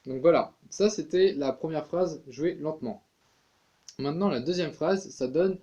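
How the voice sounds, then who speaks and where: A man's voice: on the high side, a little slow, dark tone, highs cut off. A man giving a casual tin whistle tutorial, chatty, with many fillers and restarts.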